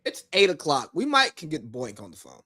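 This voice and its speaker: A man's voice: on the high side, wildly swooping pitch, a little fast, sharp tone, dry recording. A laid-back man, ribbing his co-host on a sports podcast. His slightly raised voice moves up and down a lot, and the sound is close and dry with no room in it. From rural US South. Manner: teasing, laid-back, swears casually. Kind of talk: casual sports podcast chat.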